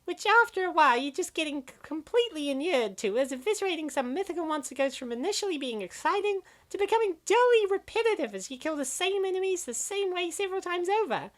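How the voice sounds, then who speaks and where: A woman's voice: extremely high pitch, big pitch swings, quick pace, few pauses. A woman voicing a sardonic, opinionated young female gamer in a comic dub, given to ranting about games. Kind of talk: comic dub dialogue, gaming banter.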